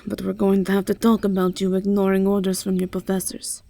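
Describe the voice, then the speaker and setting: A woman voicing an authoritative, composed and wry academy professor in an amateur fantasy audio drama, with a measured, instructive manner. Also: mid-range voice, completely flat delivery, vocal fry, mellow, lightly acted.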